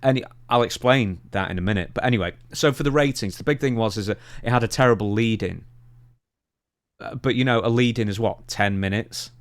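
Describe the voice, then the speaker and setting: A man co-hosting a casual wrestling talk podcast in an animated, exasperated, opinionated way. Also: lowish voice, a little fast. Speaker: podcast co-host.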